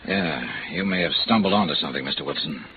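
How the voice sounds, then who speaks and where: A man's voice: deep voice, quick pace, background noise, close to an everyday voice. A man acting a terse, brooding hardboiled investigator in a 1950s radio noir drama. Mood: businesslike. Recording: dull vintage radio recording with music.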